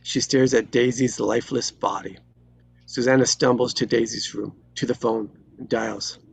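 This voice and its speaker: A man reading screenplay stage directions as dramatic narration, in terse, deliberate phrases. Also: medium pitch, fairly even intonation, gravelly, slight background noise.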